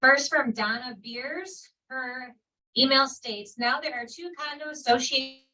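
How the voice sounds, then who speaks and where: Woman, council member, reading letters at a meeting, bright tone, off-mic. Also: high-pitched, measured pace. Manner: loud, clear reading voice. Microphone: distant from the microphone. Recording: speaker far from the mic.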